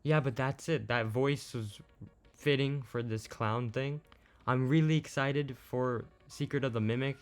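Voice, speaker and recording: A man's voice: medium pitch, steady tone, unhurried. A man giving casual, off-the-cuff video commentary as a host, plain-spoken and a little unsure. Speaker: YouTube host, game news commentator. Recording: music playing behind the voice.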